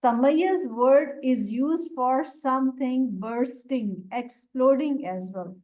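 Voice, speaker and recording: Slow, high-voiced woman Quran teacher. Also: a little mellow. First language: Urdu. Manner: deliberate, explains Arabic words one by one. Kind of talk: slow explanatory religious lesson. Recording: dull lo-fi recording.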